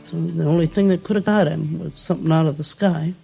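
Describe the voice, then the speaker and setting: A woman's voice: low voice, fairly even intonation, a little fast, vocal fry, mellow, slightly rough, soft background music. A woman giving plain spoken testimony in a recorded interview for a 1950s radio documentary. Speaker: interviewed mother.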